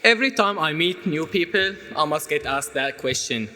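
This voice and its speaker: A man's voice: a little high, lively intonation, moderate pace, pushed and bright. A man in his twenties from Syria, a native Arabic speaker with a Syrian Arabic accent, giving a prepared personal speech to an audience. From Aleppo, Syria. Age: 20s. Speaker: student speaker at an event. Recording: long hall reverb.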